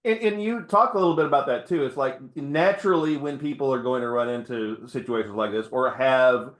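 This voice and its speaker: A man's voice: mid-range voice, a little fast, roomy sound. A man hosting an interview, chatty, laughing often and restarting his sentences. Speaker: podcast host. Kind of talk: interview conversation.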